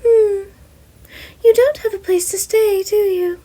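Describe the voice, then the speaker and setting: A woman's voice: fairly even intonation, slow pace, mellow. A woman putting on a chirpy, sly chimera principal. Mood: cheerful. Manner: high, bouncy and pleased with himself. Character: cheery male chimera school principal, sly.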